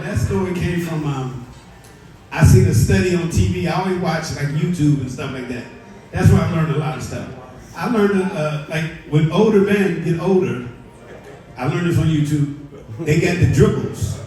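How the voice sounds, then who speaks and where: Crude stand-up man in an echoey club. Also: on the high side. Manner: crude, deadpan storytelling with crowd work. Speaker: comedy show host. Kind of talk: live stand-up comedy. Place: comedy club at a bar and grill. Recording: echoey club recorded from far off.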